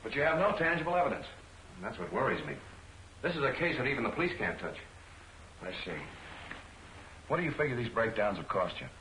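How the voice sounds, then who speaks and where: An actor playing a brisk, determined newspaper editor asking businesslike questions, in 1940s film serial style. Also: male voice, medium pitch, sing-song, pushed and bright, slight background noise. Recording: roomy old film soundtrack with music.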